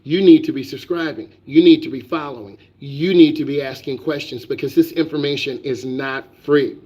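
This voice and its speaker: A man's voice: mid-range voice, moderate pace, dark tone. A man who is a driving instructor, lecturing on a live stream with emphatic, repetitive, preachy delivery. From United States.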